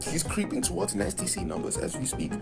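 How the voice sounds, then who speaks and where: This speaker talks up an artist with loud emphasis and repeated lines. The voice sits at a middle pitch. Music plays clearly underneath. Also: huge pitch swings, a little fast. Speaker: online music commentator. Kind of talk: hyped clip commentary.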